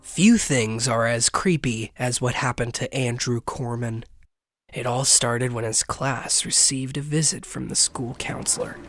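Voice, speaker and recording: A woman's voice: extremely low pitch, unhurried. A woman voicing a bumbling, theatrical hoofed creature who hosts scary tales in a scripted horror podcast. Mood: spooky, playful. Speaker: podcast host and storyteller. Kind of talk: playful scripted horror narration.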